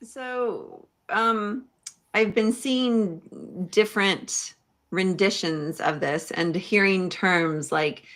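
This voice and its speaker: A woman's voice: medium pitch, very slow, a bit bright. A woman hosting a conversational livestream interview, putting questions to a guest. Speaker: podcast livestream host.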